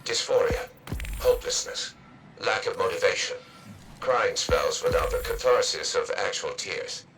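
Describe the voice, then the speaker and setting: Low-voiced clinical android psychiatrist. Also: male voice, animated, a bit bright, slight background noise, rough recording. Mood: detached, melancholy. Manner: measured, formal, clinical. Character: clinical male android psychiatrist, dry and methodical. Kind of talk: scripted game audio-log dictation.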